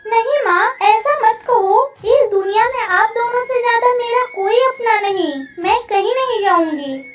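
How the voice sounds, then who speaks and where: A woman's voice: extremely high pitch, lively intonation, measured pace. A woman voicing an earnest little village girl who pleads with her mother in a cartoon story. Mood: pleading, earnest. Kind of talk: Hindi cartoon story dialogue. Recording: roomy, distant sound with faint music.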